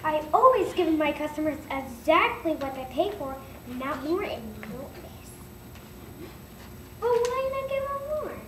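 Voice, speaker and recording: Kid doing an honest troubled baker in a school play, really high lively voice, far off in echoey room. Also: measured pace. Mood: pondering. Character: troubled, honest male baker in a storybook tale. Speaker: student performer in a classroom reader's theater. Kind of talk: reader's theater acting. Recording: echoey noisy room, distant mic.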